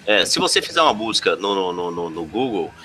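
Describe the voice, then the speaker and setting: A Brazilian man co-hosting a podcast, explaining his points in a casual, halting, insistent way. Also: deep voice, big pitch swings, sharp tone. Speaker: podcast co-host.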